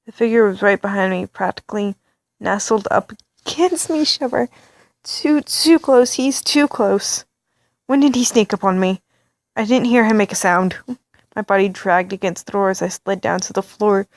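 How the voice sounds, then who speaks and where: A woman reads a story game aloud into a close mic, reacting as she goes and often stumbling over words. Her pitch sits mid-range, and the sound is dry with no room echo. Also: normal speed. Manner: animated, reactive, stammering asides. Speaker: let's play reader.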